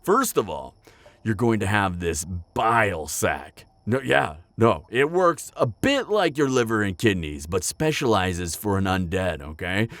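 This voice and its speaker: Man voicing a lively low-voiced, chatty necromancer. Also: measured pace, no echo. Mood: businesslike, eager. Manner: casual, hesitant asides, frequent chuckling. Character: chatty, upbeat, pragmatic male necromancer, former tailor. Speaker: voice actor in audio roleplay. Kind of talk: conversational roleplay addressed to the listener.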